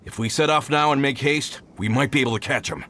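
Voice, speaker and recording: A man's voice: mid-range voice, fast, sharp tone. An actor playing an earnest, decisive foreman who leads a search party in a video game. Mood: resolute.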